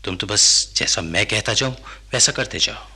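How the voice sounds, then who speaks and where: An actor playing a middle-aged, paternal hospital doctor in a radio drama, instructive and slightly patronising. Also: male voice, on the low side, animated, normal speed, gravelly, bright, forceful tone, breathy edge, heavily acted. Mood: grave, firm.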